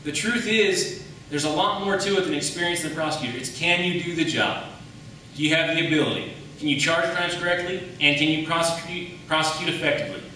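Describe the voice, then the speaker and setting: A very reverberant, distant recording with faint noise carries an American man debating as a candidate. His voice is bright and forceful, and he argues emphatically, often putting questions and answering them. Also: fairly high pitch, a little fast. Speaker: defense attorney running for county attorney. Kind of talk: campaign debate speech. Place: echoey hall at a public debate. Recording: very echoey room, distant mic, faint noise.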